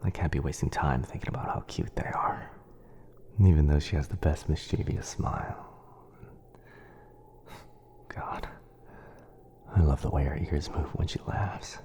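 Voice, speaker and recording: Whispering, low-voiced man in soft ASMR roleplay. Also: a little slow, gravelly, pauses often. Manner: soft, soothing, hushed. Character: kind, caring, smitten young male wizard.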